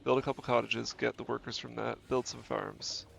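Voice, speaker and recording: A man's voice: mid-range voice, little pitch movement, moderate pace, gravelly, a bit bright. A man, a game streamer with a stuffy nose from a cold, thinking aloud and correcting himself in easygoing, casual gameplay commentary. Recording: dull lo-fi stream audio. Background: faint game music.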